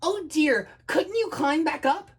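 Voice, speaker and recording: Lady doing a scared little boy dragon for a kids story, high n swoopy, all anxious and quaky. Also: sing-song, slow, pushed and bright, slightly rough, full character voice. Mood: fretful. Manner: quaking, anxious. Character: small, timid, tearful male dragon, easily frightened.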